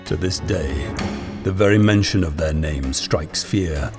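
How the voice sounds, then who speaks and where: A man's voice: deep voice, a little slow. An actor playing a grave man recounting dreadful lore in an audio drama, solemn and ominous. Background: dramatic music.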